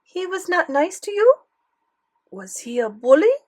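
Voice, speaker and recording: Woman acting an old French grandmother, pitched high. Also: very animated, measured pace, darkish tone, heavily acted. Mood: puzzled, probing. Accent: thick French. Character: old French grandmother, doting yet commanding. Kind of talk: audiobook character dialogue.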